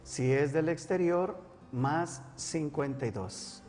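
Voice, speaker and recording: A man co-hosting a religious call-in show, calmly reading out phone numbers and a psalm. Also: on the high side, slow, long reverb tail, faint music behind. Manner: calm, recites scripture. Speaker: co-host. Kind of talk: live call-in broadcast with prayer.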